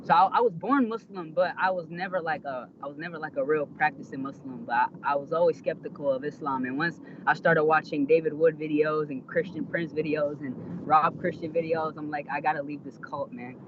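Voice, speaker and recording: High-voiced man on a muffled car call. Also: steady tone, a little fast, background noise. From Iraq. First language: Arabic. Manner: emphatic, repeats himself, slangy. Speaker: caller to a live stream. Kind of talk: casual, unscripted debate. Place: inside a car while driving. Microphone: phone or internet call.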